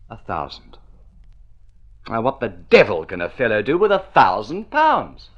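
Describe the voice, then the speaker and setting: An actor playing a spoiled, idle young gentleman in an old radio drama, breezy and exclamatory, full of upper-class slang. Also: fairly low pitch, wildly swooping pitch, a little slow. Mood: amused, incredulous. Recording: dull vintage radio recording.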